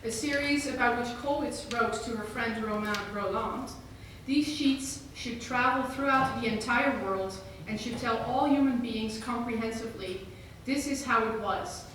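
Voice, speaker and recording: A woman's voice: on the high side, moderate pace, a bit bright. A woman from the Netherlands, introducing a guest speaker at a museum lecture and reading her prepared remarks. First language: Dutch. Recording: very echoey hall, voice from far off, audible noise.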